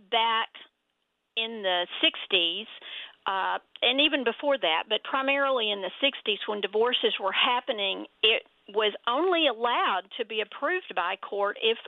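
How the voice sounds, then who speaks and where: Texas lady lawyer, kinda high voice, explains things slow and steady, tinny phone sound. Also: no echo. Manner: measured, explanatory. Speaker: family lawyer, guest. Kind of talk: interview answers.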